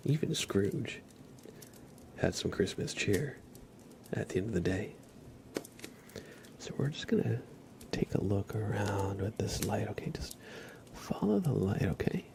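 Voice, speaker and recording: A man streaming live ASMR, gently and unhurriedly switching between whispering and soft speech, close to the microphone. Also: fairly low pitch, animated, a little slow, creaky, pauses often, slight background noise.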